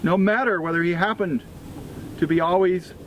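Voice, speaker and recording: A man with an American accent reading a formal obituary tribute aloud in a deliberate, solemn way, pausing between phrases. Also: high-pitched, fairly even intonation, fairly bright tone. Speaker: freemason reader at a memorial event. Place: outdoors at a cemetery event. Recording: clear ambient outdoor noise with vehicles.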